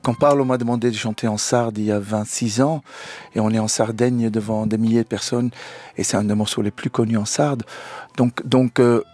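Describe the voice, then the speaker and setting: Husky man, earnest. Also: on the low side, steady tone, moderate pace, darkish tone. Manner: earnest, explains with images, stumbles on words. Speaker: guest singer. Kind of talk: radio interview answers.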